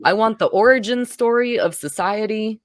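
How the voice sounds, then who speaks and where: A woman's voice: medium pitch, moderate pace, no room sound, clean studio sound. A woman hosting a film review show, talking casually and with enthusiasm.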